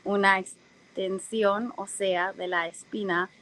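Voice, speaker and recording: A woman whose first language is English, giving a technical lecture in Spanish, careful and unhurried, with frequent fillers and self-corrections. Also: medium pitch, steady tone, fairly bright tone, rough recording. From United States. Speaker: guest lecturer. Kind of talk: technical presentation.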